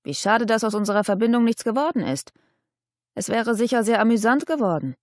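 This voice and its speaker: A woman's voice: fairly high pitch, lively intonation, fairly quick, dry recording, clean studio sound, pitched above the performer's own voice, close to an everyday voice. A woman voicing a vain, courtly nobleman with a polite, condescending manner in an audiobook. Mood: mocking.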